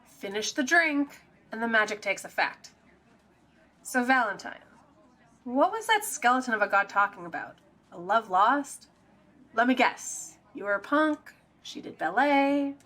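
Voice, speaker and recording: Lady acting a sassy sidekick gal, bright animated voice, teasing, gets exasperated easy, audio drama. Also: on the high side. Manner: teasing, incredulous, brisk.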